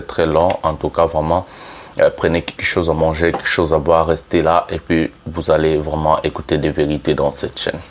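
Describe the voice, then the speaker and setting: A man hosting a casual music commentary show, talking with a cold, full of fillers and throat clearing. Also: a bass voice. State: has a cold. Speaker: YouTube music commentary host. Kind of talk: casual monologue to subscribers.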